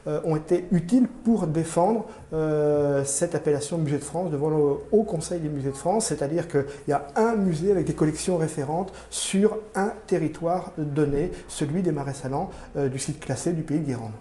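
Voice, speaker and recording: Man, fairly high mellow voice, formal interview answers, halting measured phrasing, echoing room. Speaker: museum curator being interviewed. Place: indoor room in a museum. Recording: roomy, echoing indoor sound.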